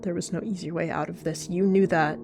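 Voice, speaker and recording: A woman's voice: lowish voice, fairly even intonation, a little fast, music in the background, close to an everyday voice. A woman voicing a grim, possessive evil she-cat warrior, talking with pleading yet menacing intensity. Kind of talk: voice-acted roleplay dialogue.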